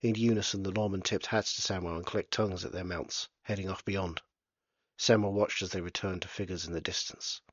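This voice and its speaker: A man's voice: low-pitched, steady tone, a little fast, dry recording, lo-fi sound. A man reading aloud from his own novel in a plain, unpolished way. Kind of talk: storybook narration.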